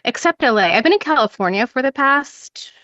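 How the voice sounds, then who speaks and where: A woman's voice: high voice, lively intonation, a little fast, bright, forceful tone, dry recording, dull audio. A woman in her thirties who grew up in Ecuador, chatting as an interview guest with lots of "like" fillers and easy laughter. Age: 30s. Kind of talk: casual interview chat.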